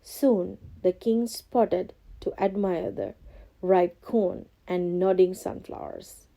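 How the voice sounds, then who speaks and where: A woman reading a scripted children's storybook as narrator, expressive and carefully phrased. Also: on the low side, slow pace, gravelly.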